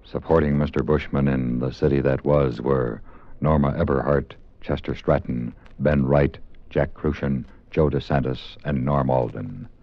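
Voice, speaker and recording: Extremely deep, gravelly male radio announcer. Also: fairly even intonation, a little slow, dull audio. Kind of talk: 1950s radio announcing, scripted. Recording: band-limited 1950s radio recording.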